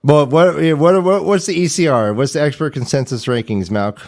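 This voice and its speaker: A man's voice: fairly high pitch, normal speed, a little mellow. A man hosting a fantasy football livestream, chatting casually in a playful, joking way. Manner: jokey, playful. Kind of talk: casual chat, joking sports talk.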